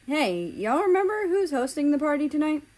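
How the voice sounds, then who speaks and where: A woman's voice: sits high, big pitch swings, brisk, a little mellow, voice pushed up. A woman voicing a sarcastic, slangy teenage football player in a story reading. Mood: casual. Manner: casual, grumbling, slangy. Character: cheeky, sarcastic teenage boy on a school football team.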